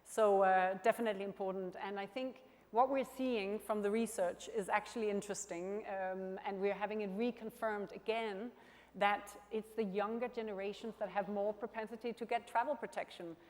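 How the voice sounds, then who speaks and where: A middle-aged woman from Denmark, an insurance executive speaking on stage, with thoughtful, slightly halting phrasing. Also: mid-range voice, fairly even intonation, darkish tone. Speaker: panel guest, insurance executive. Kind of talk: onstage conversation. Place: conference stage. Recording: reverberant conference hall.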